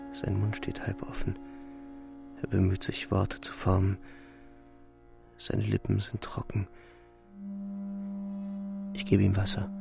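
An actor playing an anguished young soldier in a radio play, delivering a grave, halting, intense first-person monologue. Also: male voice, deep voice, vocal fry, exaggerated voice. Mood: numb guilt. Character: anguished young male soldier recounting a killing in a shell hole. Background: music.

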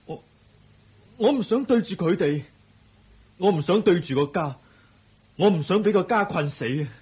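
An anguished younger family man in a radio drama, high for a man and very husky. His Hong Kong Cantonese is earnest and plaintive, with emotional swings in pitch. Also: lively intonation, normal speed, a little mellow, full character voice.

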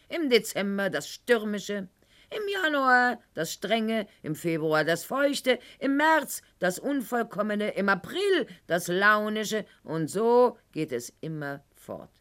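A woman's voice: mid-range voice, animated, slow pace, a bit bright. An actress playing a proud, bitter, sickly aristocratic lady in a theatrical radio monologue, with mocking, precise, formal diction. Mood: indignant, scornful.

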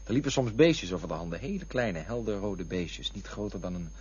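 An actor playing a defensive, wistful man in a Dutch radio play, touchy and drifting into lyrical memories, with a husky voice. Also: low voice, animated, fairly quick, a little mellow, slight background noise. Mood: wistful reminiscing. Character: defensive, wistful man looking back on lost loves. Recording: dull, lo-fi radio play recording.

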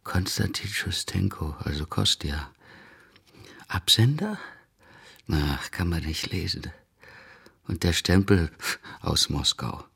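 A worn-down, uneasy man caught up in a crime plot. His voice is low and creaky, subdued and hesitant, in radio drama style. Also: lively intonation, slow pace, long pauses, a bit bright, slight background noise, full character voice. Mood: uneasy, pondering. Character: weary, uneasy man entangled in a murder plot.

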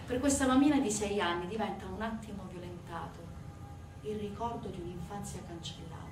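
A woman performing a theatrical narrative monologue, emphatic, with deliberate pauses and swings between hushed and forceful delivery. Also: medium pitch. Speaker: stage actress and narrator. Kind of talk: dramatic theatrical monologue storytelling. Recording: distant, echoey room recording with faint noise.

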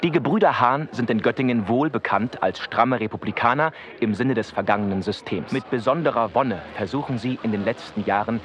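An actor playing a smug, formal 1930s newspaper reporter, reading reports precisely in a radio play. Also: male voice, medium pitch, fairly quick, hardly any pauses, close to an everyday voice.

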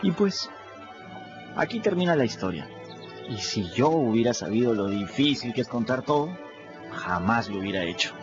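A man's voice: medium pitch, big pitch swings, moderate pace, natural voice. An actor playing a plain-spoken, restless runaway teenage boy who narrates his own story in a casual, folksy way, in a radio drama. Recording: muffled radio play with music.

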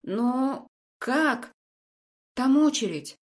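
A woman voicing a shaken, vulnerable female patient in an audiobook drama, speaking in a halting, emotional way. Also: medium pitch, warm tone, close to an everyday voice. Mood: bewildered.

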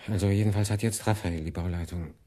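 Husky, worldly, impatient cardinal. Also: male voice, low-pitched, steady tone, fast. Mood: condescending, impatient. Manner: exasperated, sardonic, swinging from hushed to blustering. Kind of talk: radio play acting.

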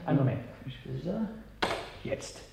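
An actor playing a businesslike technician selling household robots in a radio play, assured and matter-of-fact. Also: male voice, a little slow, dark tone, slight background noise, natural voice. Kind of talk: German radio play acting.

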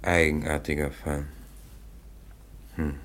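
A man's voice: extremely low pitch, flat delivery, a bit bright, full character voice. A man playing a police sergeant in a German radio play, interrogating and giving orders in a commanding manner. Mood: puzzled, commanding. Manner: brisk, interrogating, gives orders. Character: commanding male police sergeant questioning a suspect.

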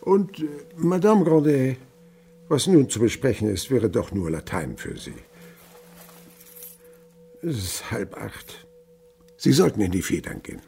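An actor playing a sly old miserly French merchant in a radio play, feigning a halting stammer. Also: male voice, mid-range voice, very animated, vocal fry, mellow, soft background music. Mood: curt, dismissive. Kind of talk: radio drama acting.

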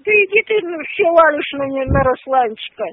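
A shrill, indignant older woman cursing down a tinny phone line, her very high voice scolding without pause. The sound is dry and squeezed into a narrow telephone band. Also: lively intonation, no echo. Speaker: prank call target. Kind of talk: phone argument.

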